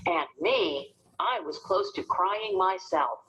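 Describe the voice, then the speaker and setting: A woman narrating a storybook as an expressive, dramatic read-aloud for children. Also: on the low side, takes it slowly, a little hiss or hum, poor audio.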